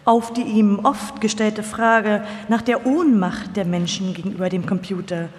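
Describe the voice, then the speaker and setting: A woman's voice: medium pitch, fairly even intonation, a little slow. A woman narrating a scripted documentary feature, reading live. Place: large hall, live performance. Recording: reverberant live hall.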